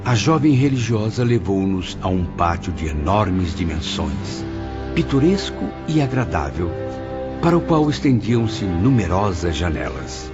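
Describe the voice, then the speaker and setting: A man's voice: deep voice, big pitch swings, a little slow. A man with a Brazilian accent acting as a spirit who solemnly recounts his afterlife journey in an audio drama. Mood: solemn wonder. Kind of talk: scripted audio drama narration. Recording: muffled audio drama, loud music bed.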